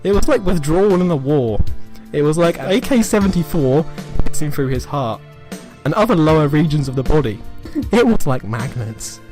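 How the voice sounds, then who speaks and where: A man's voice: fairly high pitch, lively intonation, moderate pace, darkish tone, soft background music. A man reading an amateur story aloud as a comic dramatic reading, mock-serious, drawing out phrases and stumbling over words. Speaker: reader of amateur fan fiction.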